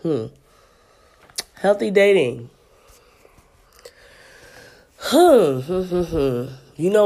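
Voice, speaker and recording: A woman's voice: deep voice, warm tone. A woman in her thirties hosting a podcast from home, chatting loosely with listeners and reading aloud, her voice stuffy and congested. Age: 30s. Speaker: podcast host. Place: at home in an apartment.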